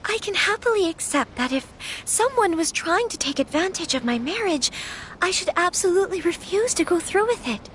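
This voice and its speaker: An actress playing a gentle young noblewoman in an anime fantasy game, troubled yet firm, with soft, earnest, formal phrasing. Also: very high-pitched, expressive, moderate pace, a little hiss or hum. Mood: troubled, reflective.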